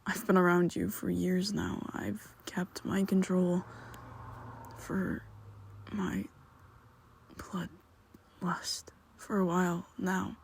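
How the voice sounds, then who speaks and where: A woman voicing a secretive, protective young male vampire best friend in an ASMR roleplay, talking haltingly and often dropping to a whisper. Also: fairly low pitch, level tone, creaky, a little hiss or hum. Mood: pleading.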